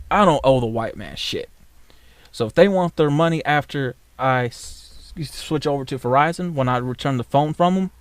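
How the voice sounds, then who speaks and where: A man's voice: mid-range voice, no room sound. A man from the United States ranting in a casual vlog, blunt and profane, rambling with many fillers. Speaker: vlogger.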